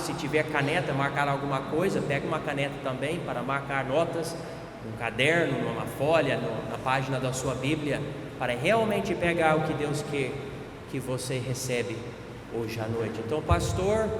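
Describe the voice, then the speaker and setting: A man's voice: on the high side, normal speed. A man with an American accent, the host pastor, introducing a guest preacher in a friendly, joking way. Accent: American-accented Portuguese. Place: church hall. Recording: echoey hall with reverb and background noise.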